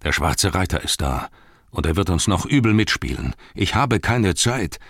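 A grave old wizard of a fantasy audiobook, played by a male reader. He is low-pitched, weary and commanding, weighing his words slowly. The sound is dry and close. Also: very animated, gravelly, a bit bright, no echo, heavily acted. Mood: grim, urgent. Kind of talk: fantasy audiobook character reading.

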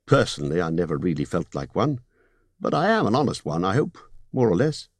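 A man voicing a fussy, polite male hobbit burglar who talks in a businesslike, slightly squeaky way. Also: sing-song, brisk, no echo. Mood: uncomfortable.

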